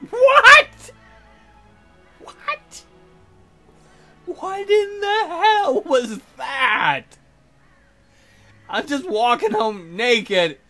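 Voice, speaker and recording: A man's voice: very high-pitched, sharp tone. A man hosting a let's play, reading visual novel text aloud and adding sarcastic, incredulous comic commentary.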